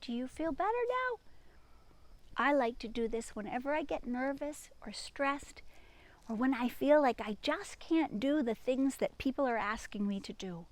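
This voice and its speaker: A woman teacher reading a picture book aloud and chatting to young children in an expressive, sing-song manner, with hushed asides. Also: high voice, normal speed.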